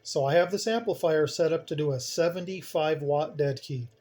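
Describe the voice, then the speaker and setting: A man's voice: on the high side, normal speed, darkish tone. A man giving an unscripted hands-on equipment tutorial, plain-spoken and explaining step by step, repeating key points. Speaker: hobbyist demonstrator, channel host.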